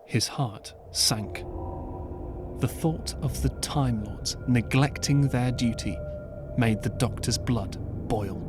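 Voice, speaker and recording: A man narrating an audiobook as scripted storytelling, measured and earnest, with dramatic pauses. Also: mid-range voice. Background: clear background music.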